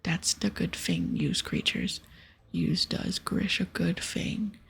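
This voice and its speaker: A woman's voice: fairly low pitch, huge pitch swings, fairly bright tone, exaggerated voice. A woman voicing a dim, worried male ogre in whispered, broken baby-talk for a game. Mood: gruff, cautioning. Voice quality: whispered, breathy. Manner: slow, broken childlike grammar.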